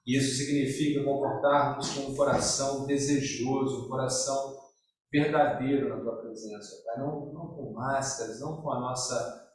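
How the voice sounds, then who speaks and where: A man leading a church gathering in spontaneous spoken prayer, earnest and halting, with many fillers and restarts. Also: medium pitch. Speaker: church leader opening a service with prayer. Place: small church gathering indoors. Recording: roomy echo from a distant mic with faint noise.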